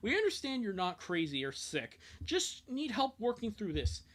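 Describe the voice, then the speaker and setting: Streamer doing an earnest grownup comforting kids, mid pitch that moves around a lot. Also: huge pitch swings, moderate pace. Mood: reassuring, earnest.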